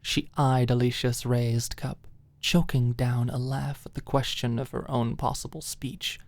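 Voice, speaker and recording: A woman's voice: a bass voice, fairly even intonation, a little slow, darkish tone, dry recording. A woman narrating scripted fiction as an ASMR audiobook storyteller.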